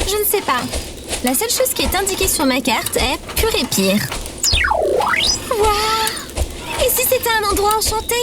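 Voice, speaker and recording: Woman playing a high, lively, eager robot companion. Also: unhurried, pauses often, very noisy, full character voice. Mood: excited, playful. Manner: enthusiastic, precise, explanatory. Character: cheerful female robot companion, eager, naively fierce. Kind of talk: audio fiction acting.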